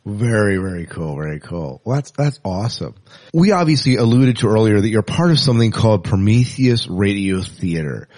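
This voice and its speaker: Low-voiced, lively male podcast host. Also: normal speed. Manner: affirming, chatty interviewer. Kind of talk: interviewing a guest.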